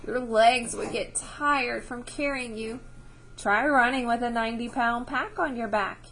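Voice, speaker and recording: Higher-voiced woman teaching children. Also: unhurried, a bit bright. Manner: instructive, checks understanding with short questions. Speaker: teacher reading a book aloud to children. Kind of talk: read-aloud science lesson with questions to children.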